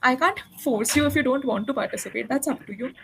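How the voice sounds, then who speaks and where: High-voiced encouraging woman tutor. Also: fast, vocal fry, a little hiss or hum. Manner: encouraging, patient, explains grammar step by step. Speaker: English tutor. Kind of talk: one-on-one spoken English lesson.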